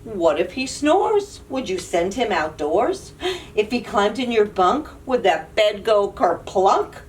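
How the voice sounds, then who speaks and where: A woman reading picture books aloud to young children, with an animated, playful, questioning delivery. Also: medium pitch, slow pace. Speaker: children's librarian and storyteller. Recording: distant mic, audible background noise.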